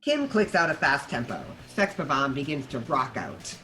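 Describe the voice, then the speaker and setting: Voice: mid-range voice, big pitch swings, measured pace, sharp tone, a little hiss or hum. A narrator reading scripted stage directions for a table read.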